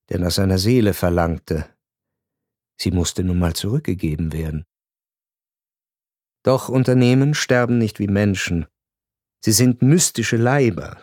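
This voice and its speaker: Guy narrating a radio play, lowish voice, dry close sound, slow ironic delivery. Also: darkish tone, dry recording. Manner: measured, ironic storytelling with deliberate pauses. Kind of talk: literary radio drama narration.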